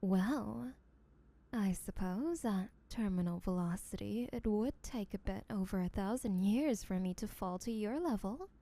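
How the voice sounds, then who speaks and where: A woman's voice: medium pitch, a little slow, mellow. A woman playing an obsessive, boastful yandere sibling in a comedic audio roleplay, rambling in a smug, teasing, sweetly menacing way.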